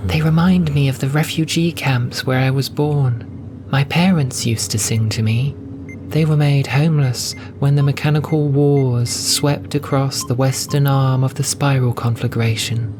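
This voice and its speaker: A man voicing a gentle young female cat nun in an audio drama, soft and wistful, with earnest storytelling. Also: mid-range voice, steady tone, a little slow, noisy background, pitched above the performer's own voice, close to an everyday voice. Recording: music bed under voice. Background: music.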